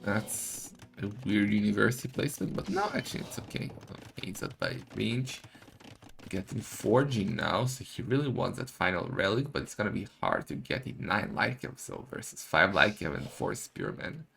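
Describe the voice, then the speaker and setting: A man giving excitable, casual live esports commentary, full of exclamations and questions. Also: on the low side, normal speed, creaky.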